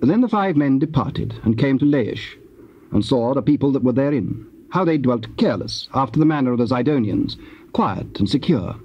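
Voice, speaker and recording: A man narrating scripture as a measured, formal scripted reading. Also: mid-range voice, lively intonation, a little hiss or hum. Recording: dull old cassette audio.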